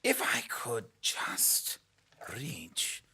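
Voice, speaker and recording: A man playing a bumbling, melodramatic captive official in a comic audio drama, overwrought, halting and comically pompous. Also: mid-range voice, wildly swooping pitch, bright, forceful tone, a little hiss or hum, exaggerated voice. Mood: straining, officious.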